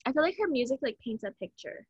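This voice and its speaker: A woman's voice: high voice, lively intonation, quick pace, husky, no room sound, lo-fi sound. A young woman from Hawaii co-hosting a podcast in casual chat, hesitant and searching for words, then gushing. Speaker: podcast co-host.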